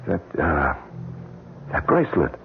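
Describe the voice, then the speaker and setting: A man's voice: rumbling low, huge pitch swings, a little slow, gravelly, full character voice. An actor playing a guilty, wealthy husband in a radio mystery, formal and hesitant, blustering as he is cornered. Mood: indignant. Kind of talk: radio mystery drama acting. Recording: muffled, noisy old radio broadcast.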